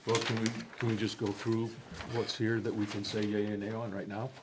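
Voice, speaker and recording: A man on a town planning board, making formal motions at a public meeting in a slow, measured way. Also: lowish voice, flat delivery, noisy background.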